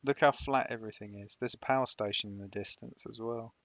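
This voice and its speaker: A man's voice: mid-range voice, fairly even intonation, quick pace, fairly bright tone, dry recording. A man with a Sunderland accent hosting a geography guessing game stream, bantering bluntly and repeating his jokes. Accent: Sunderland English. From Sunderland, England. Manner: blunt, joking, repeats himself. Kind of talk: casual banter while playing.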